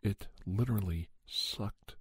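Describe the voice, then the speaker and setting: Very deep, husky paranormal investigator played by a man. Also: dry recording, full character voice. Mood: ominous. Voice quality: husky, breathy near-whisper. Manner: deliberate, halting phrases with dramatic pauses. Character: Doctor Andrew Michaels, grave male paranormal investigator telling his tales. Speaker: storyteller, podcast character host. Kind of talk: ASMR scripted horror storytelling.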